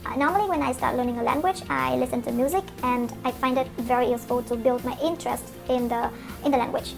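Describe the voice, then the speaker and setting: A young adult woman with a Vietnamese accent, whose first language is Vietnamese, hosting a solo podcast lesson as an English coach. She talks earnestly and encouragingly, often pausing on fillers. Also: high voice, fairly even intonation, creaky. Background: faint background music.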